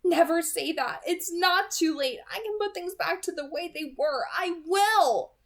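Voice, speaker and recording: Storybook vampire girl, read by a woman: raised pitch, tender yet stern, urgent and anguished. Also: measured pace. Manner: intense, urgent warnings.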